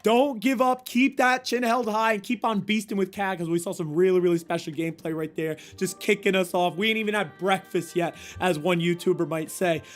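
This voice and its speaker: High-energy male stream host, slightly hoarse, fast stammering shout-outs over faint game music. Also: fairly even intonation. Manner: hyped, rapid shout-outs with frequent stammered restarts. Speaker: tournament host and commentator. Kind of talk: energetic esports stream commentary.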